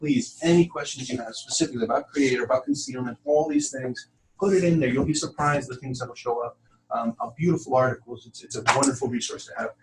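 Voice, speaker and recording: A man teaching an informal spiritual class to a small group, talking in a halting, conversational way. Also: mid-range voice, very animated. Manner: halting, conversational, addresses listeners as friends. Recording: distant room mic with background noise.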